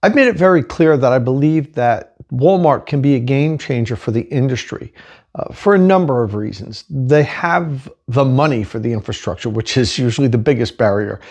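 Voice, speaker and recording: A man hosting his own channel, giving an earnest, measured scripted introduction. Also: mid-range voice, animated, darkish tone. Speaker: channel host.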